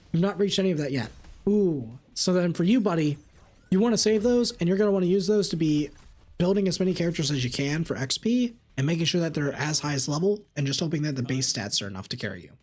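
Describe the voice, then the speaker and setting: Husky-voiced streamer answering chat questions. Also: male voice, fairly high pitch. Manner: animated, reads chat questions and answers quickly. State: slightly raspy voice. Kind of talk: live-stream Q&A.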